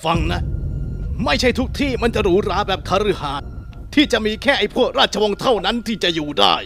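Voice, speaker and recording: A man's voice: sits high, expressive, fairly quick, gravelly, full character voice. A man voicing sneering cowboy demon assassin. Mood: menacing. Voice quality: sneering and crude, with bursts of shouting. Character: cocky cowboy demon assassin, male, adult, menacing. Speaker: voice actor in a fan dub. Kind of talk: cartoon fan dub acting.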